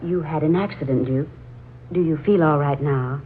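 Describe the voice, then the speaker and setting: A woman's voice: deep voice, normal speed, close to an everyday voice. A tinny, lightly hissing 1940s radio recording holds an actress playing an anxious young woman. She is earnest and wistful, choosing words with hesitation, in the style of period drama. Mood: concerned. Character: anxious, wistful young woman attached to her family house.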